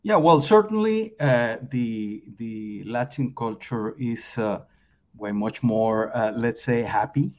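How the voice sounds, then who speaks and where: A man in his fifties from Mexico, with a Mexican Spanish accent, speaking as a guest sales trainer on a podcast, halting often with fillers and repeated words. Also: medium pitch. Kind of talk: podcast interview. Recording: slightly dull lo-fi sound.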